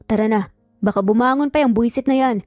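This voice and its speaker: A tough, protective young hero, performed by a woman storyteller, firm and confrontational. The voice is mellow and on the high side, recorded dry with faint music in an audio-drama style. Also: quick pace, no room sound, natural voice. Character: bold male rescuer, protective and tough. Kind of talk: Tagalog audio story dialogue.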